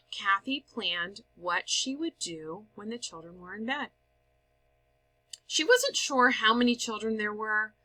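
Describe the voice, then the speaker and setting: A woman reading a story aloud as scripted narration, with expressive, dramatic emphasis. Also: a little high, poor audio. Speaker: story reader.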